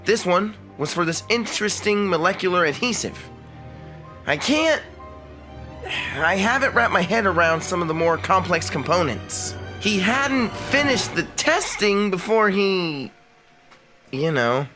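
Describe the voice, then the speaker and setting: A man's voice: high-pitched, lively intonation, unhurried, bright, forceful tone, faint music behind, heavily acted. A man playing a shy, hesitant teenage boy. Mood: timid. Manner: hesitant and mumbling. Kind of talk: fan dub voice acting.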